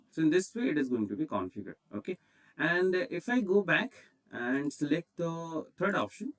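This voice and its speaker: A man with an Indian accent presenting a step-by-step software tutorial in an instructional way, often checking in with "okay". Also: medium pitch, distant mic, low-quality recording.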